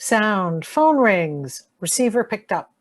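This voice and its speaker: A woman's voice: mid-range voice, big pitch swings, slow pace. A woman reading sound-effect cues aloud in a radio play performance. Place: home room, live video call performance.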